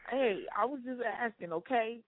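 A woman's voice: a little high, normal speed, phone-quality sound, a little hiss or hum. Chatty woman radio host, phone-thin. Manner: chatty, frequent "okay" and "um". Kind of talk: casual radio interview.

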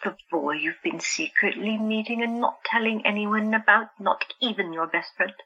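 A woman's voice: medium pitch, moderate pace, highs cut off, natural voice. A woman playing a stubborn young sister plagued by visions in an audio drama, speaking earnestly and insistently. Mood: teasing.

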